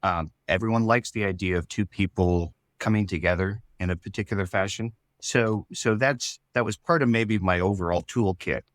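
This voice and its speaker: A man speaking as a writer in a casual interview, explaining his ideas in a thoughtful, halting way. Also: lowish voice, no room sound, clean studio sound. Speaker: writer and storyteller.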